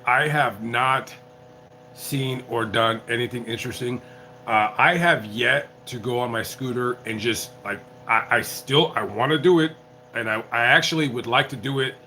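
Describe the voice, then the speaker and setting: A tipsy man live-streaming as a YouTuber, chatting casually in a rambling, halting way full of restarts. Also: medium pitch, sharp tone, slight background noise, rough recording. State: tipsy from drinking. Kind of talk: casual livestream chat.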